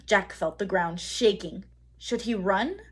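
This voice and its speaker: Female storybook narrator, teacherly and expressive, husky mid-range voice with dramatic emphasis. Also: medium pitch. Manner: dramatic emphasis, pauses to quiz listeners. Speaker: read-aloud teacher.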